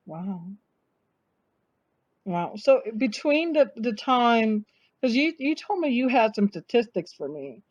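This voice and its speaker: A woman hosting an interview, asking measured questions and reacting with drawn-out exclamations. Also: fairly high pitch, very animated, no echo. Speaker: host, interviewer. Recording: dull, dry lo-fi sound.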